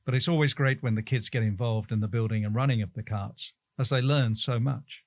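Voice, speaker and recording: A man's voice: medium pitch, brisk, a little mellow, dry recording. Man reading interview answers, dry sound.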